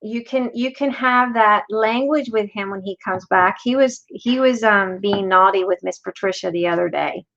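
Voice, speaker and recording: A woman's voice: medium pitch, moderate pace, few pauses, a bit bright. A middle-aged woman hosting a live craft tutorial, chatting casually and slipping into Spanish phrases. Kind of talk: casual live-stream chat while crafting. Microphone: desk mic over an overhead craft camera. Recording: slightly dull audio with faint hiss.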